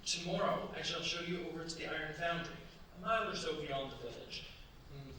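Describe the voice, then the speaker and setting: An actor playing an eager, doting young French bridegroom in a staged radio play, with a courtly, effusive manner. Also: male voice, a little high, a little fast, close to an everyday voice. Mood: proud, enthusiastic. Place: staged performance in a cultural centre. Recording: very echoey hall, distant mics, faint hiss.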